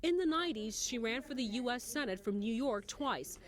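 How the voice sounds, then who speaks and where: A woman's voice: on the high side, animated, normal speed. An American woman working as a TV news anchor, reading scripted news narration. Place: TV news studio.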